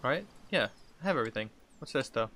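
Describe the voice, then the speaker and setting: A man's voice: medium pitch, lively intonation, quick pace, pushed and bright, a little hiss or hum. A man in his thirties giving casual, slangy let's play commentary, reacting and thinking aloud as he plays. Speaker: gaming video host. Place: indoor room, seated at a computer. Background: game music.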